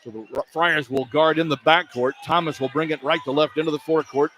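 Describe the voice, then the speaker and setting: A male radio announcer with a fairly high voice calls basketball play by play. He follows the action in short, clipped phrases, with clear arena noise behind him. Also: fairly even intonation, fast talker, sharp tone. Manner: clipped running descriptions of the action. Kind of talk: live basketball play-by-play commentary. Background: arena ambience.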